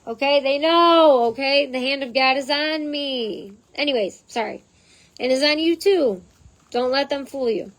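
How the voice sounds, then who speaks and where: A woman with a hoarse voice reading a text aloud and breaking off into chatty side notes, as the host of her own channel. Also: high-pitched, measured pace, low-quality recording. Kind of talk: reading aloud with casual asides.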